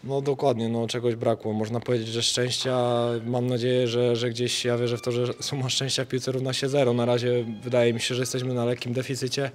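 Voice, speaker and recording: A young man, a football player, answering post-match interview questions hesitantly, repeating words and filling pauses. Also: medium pitch, flat delivery, a little hiss or hum. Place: stadium interview area before sponsor wall. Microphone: handheld reporter mic.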